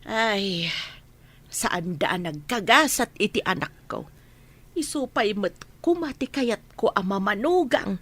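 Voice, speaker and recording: A woman's voice: medium pitch, animated, slow, fairly bright tone. A woman acting a disappointed village mother of a grown child in a radio drama. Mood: regretful.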